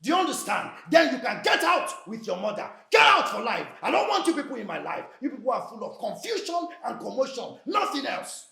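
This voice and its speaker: A man's voice: very high voice, sing-song, fast talker, vocal fry, few pauses, pushed and bright, full character voice. A Nigerian man playing an angry, domineering husband in a film drama, with a commanding, emphatic delivery. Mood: furious. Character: domineering, angry husband ordering his mother-in-law out.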